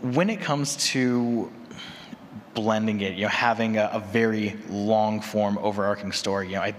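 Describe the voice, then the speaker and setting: A man's voice: fairly low pitch, fairly even intonation, moderate pace. A man speaking conversationally as a podcaster on a panel. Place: large convention room with audience. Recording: echoey hall PA, noisy room.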